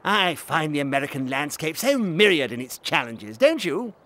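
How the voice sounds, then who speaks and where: An actor playing a pompous, effusive muscle car racer in a video game, speaking in a florid, theatrical manner. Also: male voice, fairly high pitch, very animated, normal speed, music in the background. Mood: grandly enthusiastic. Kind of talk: animated video game acting.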